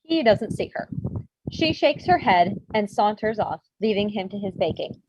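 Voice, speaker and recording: A woman's voice: medium pitch, lively intonation, normal speed, highs cut off, background noise. A woman reading screenplay stage directions as scripted narration for a table read. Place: indoors, remote virtual table read.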